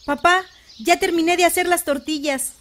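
A woman's voice: very high-pitched, steady tone, normal speed, fairly bright tone. A woman playing a sweet, dutiful, hard-working young village daughter with a Mexican accent in an audio drama. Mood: dutiful, pleading. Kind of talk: audio fiction acting.

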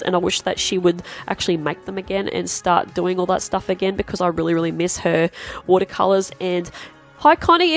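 An Australian woman with a nasal, stuffed-up voice from a cold, chatting casually and self-deprecatingly as she hosts her art channel. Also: mid-range voice. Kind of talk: casual chat while drawing. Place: home desk, indoors.

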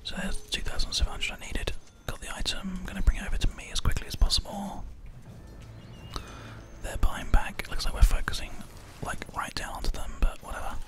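A man speaks in a breathy whisper, very close to a binaural microphone. He gives soft, soothing commentary on his gameplay at an unhurried flow, as an ASMR host does. Keyboard and mouse clicks sound behind him. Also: sits high, steady tone. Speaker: host, gamer.

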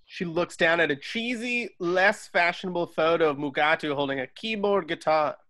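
A man narrates screenplay directions during a casual table read. His voice is very high for a man, recorded at home on a video call. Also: normal speed, sharp tone. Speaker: stage direction reader.

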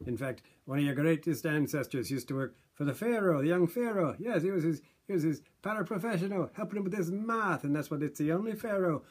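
An older man doing a blustery old father who lectures his boys with puffed-up pride. The voice rides higher than his own and keeps a husky edge. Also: a little fast, few pauses. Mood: pompous. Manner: pompous, lecturing. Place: small home room indoors. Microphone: webcam at desk distance.

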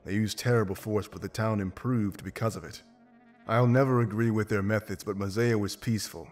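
An actor playing a confident, pragmatic wolf mercenary in a video game, blunt and self-assured. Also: male voice, fairly low pitch, fairly even intonation, brisk, darkish tone, natural voice. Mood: reflective. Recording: music behind. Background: game music.

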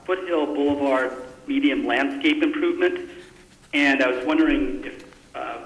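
A man speaking as a council member, politely and haltingly asking budget questions in a public meeting. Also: medium pitch, a bit bright. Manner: hesitant, polite, self-interrupting. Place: indoor council chamber. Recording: echoey meeting room.

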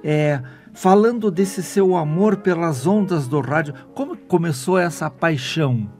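Brazilian male radio interviewer, 60s, slightly high voice, clear music underneath. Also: measured pace, darkish tone. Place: small foam-padded radio studio. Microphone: studio microphone. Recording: music behind the voice.

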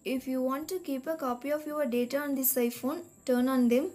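A woman reading a scripted step-by-step tech tutorial in a measured, instructional manner. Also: high-pitched, fairly even intonation, a little mellow. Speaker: tutorial narrator. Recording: voice-over with music behind. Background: background music.

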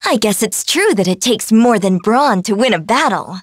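A woman's voice: a little high, lively intonation, moderate pace, no echo, studio quality. A woman voicing a sweet, innocent, bubbly young fairy girl in an anime game. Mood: cheerful. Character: girlish, cheerful, sweet fairy little sister.

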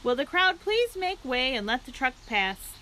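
A woman voicing a pompous male fair loudspeaker announcer while reading a children's book aloud. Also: sits high, very animated, normal speed, a little hiss or hum, voice pushed up, heavily acted.

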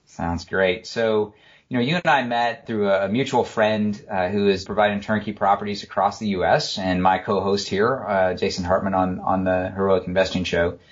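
Male podcast host with a low voice and a friendly, loose delivery full of fillers, on muffled lo-fi audio. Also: normal speed. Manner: friendly, relaxed, with frequent "uh" fillers. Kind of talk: casual podcast interview.

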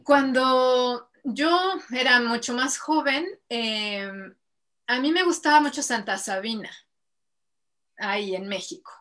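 Mexican woman, 30s, singer guest, bright and a touch high, chatty with fillers, webcam audio from home. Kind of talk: casual interview over video call. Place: home room with bookshelves and string lights. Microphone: video call webcam.

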